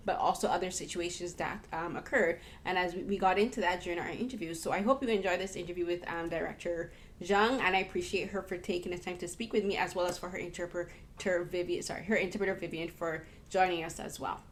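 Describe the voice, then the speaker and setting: A woman's voice: lowish voice, fairly even intonation, fast talker, hardly any pauses, slight background noise. Earnest woman hosting an interview. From Barbados. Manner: earnest, thoughtful, restarts often mid-sentence. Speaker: podcast host and interviewer. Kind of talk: casual conversational film interview.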